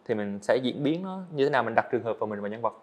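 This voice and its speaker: A man's voice: mid-range voice, lively intonation, very fast. A young man, a guest animator, earnestly explaining his work step by step in a casual interview on a studio set.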